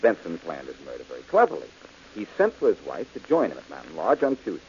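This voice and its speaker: An actor playing a confident, clever detective in an old radio drama, brisk and self-assured. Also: male voice, low-pitched, huge pitch swings, exaggerated voice. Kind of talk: 1940s radio acting. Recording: dull old radio broadcast with hiss.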